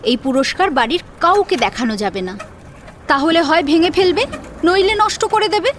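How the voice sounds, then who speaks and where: A woman's voice: very high voice, animated, normal speed, a bit bright, slight background noise. A woman narrating an audio story in a dramatic, scripted storytelling style. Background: faint background music.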